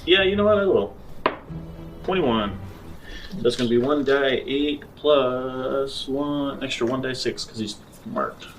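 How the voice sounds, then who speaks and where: A man's voice: medium pitch, lively intonation, slow pace, faint music behind, low-quality recording. A man chatting casually as a player in a tabletop role-playing game.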